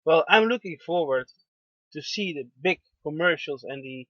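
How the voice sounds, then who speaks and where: A man hosting an internet radio show, gentle and affirming, pausing often with fillers. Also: on the high side, animated, no room sound. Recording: dull lo-fi dry sound.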